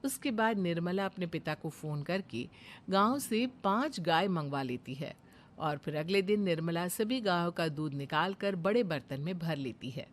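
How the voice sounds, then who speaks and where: A woman's voice: lowish voice, expressive, normal speed, a little hiss or hum. Woman narrating a cartoon moral story.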